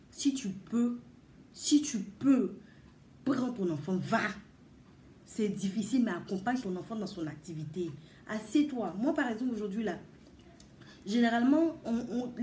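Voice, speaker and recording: A woman's voice: fairly high pitch, warm tone, slight background noise. Earnest woman in an echoey room. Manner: earnest, emphatic, repeats words and addresses listeners directly. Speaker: vlogger giving advice to mothers. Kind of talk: casual advice talk to viewers.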